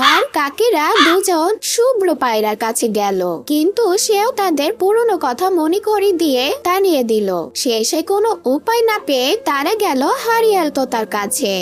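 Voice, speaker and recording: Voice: very high voice, very animated, moderate pace, hardly any pauses. A narrator reading a scripted children's cartoon story.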